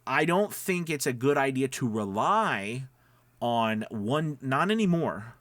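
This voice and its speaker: A man with an average-pitched voice talks as a coach to his viewers. He is conversational and encouraging, wandering through his points and often checking that listeners follow. The sound is dry, with hardly any room on it. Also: expressive, slow, a bit bright, no echo. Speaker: voice-over coach and demo maker. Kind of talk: informal instructional talk to viewers.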